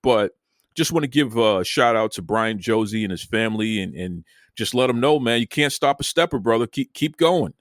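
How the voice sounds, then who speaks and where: A man's voice: medium pitch, lively intonation, moderate pace, no echo, clean studio sound. A man hosting a casual talk show, conversational and folksy, often stumbling over and repeating words. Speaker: podcast host. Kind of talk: casual chat.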